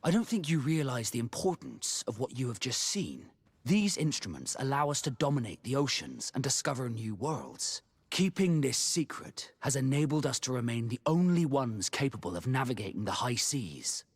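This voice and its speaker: Husky, expressive proud sea captain. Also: male voice, mid-range voice, normal speed, no room sound. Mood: earnest, guarded. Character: proud, loyal male sea captain, romantic and guarded. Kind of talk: video game voice acting.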